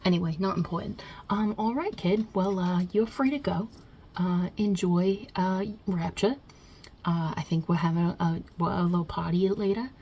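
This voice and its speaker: A woman's voice: lowish voice, normal speed, dark tone, husky. A woman voicing God as a brash, short-tempered, non-binary Italian mob boss in an ASMR roleplay, with a put-on Italian-New York accent and a blunt, chummy, rambling delivery. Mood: casual. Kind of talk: ASMR roleplay interview, improvised.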